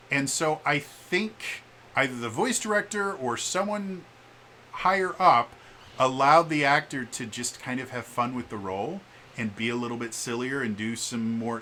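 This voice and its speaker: Male game vlogger, medium pitch, forceful tone, emphatic and opinionated, stammering delivery, casual. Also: a little hiss or hum. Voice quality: stammering, repeats sounds and syllables. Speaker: vlogger and actor.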